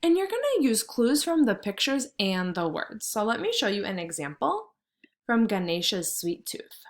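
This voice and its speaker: A woman's voice: on the high side, sing-song, normal speed. A woman teaching kindergarten through a read-aloud, instructing and thinking aloud in simple words for young children.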